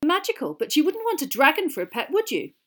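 A woman's voice: sits high, huge pitch swings, fast, pitched above the performer's own voice. A woman voicing a bossy, clever schoolgirl in a storybook, snappy, insistent and exasperated. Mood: snappish.

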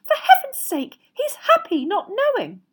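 A bossy middle-aged mother from a storybook, put on by a woman narrator. She pitches her words high and swoops about as she scolds her big family, brisk and exasperated. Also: wildly swooping pitch, full character voice. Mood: shrill, anxious. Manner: brisk, scolding, shrill when upset.

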